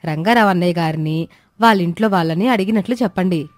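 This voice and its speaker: A woman's voice: on the low side, fast, no room sound, studio quality, voice pushed down, lightly acted. A woman voicing a caring, respectful village wife in a storybook tale. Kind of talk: dramatised storybook dialogue.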